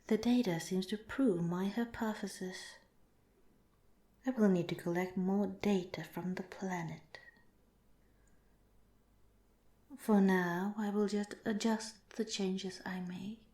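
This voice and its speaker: Slow, softly whispering alien researcher played by a woman. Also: mid-range voice, steady tone, natural voice. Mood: detached, observing. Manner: measured, formal, detached, scientific phrasing. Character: calm, clinical, curious alien researcher studying a captured human. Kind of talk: ASMR roleplay, softly spoken with whispering. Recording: roomy, echoing voice.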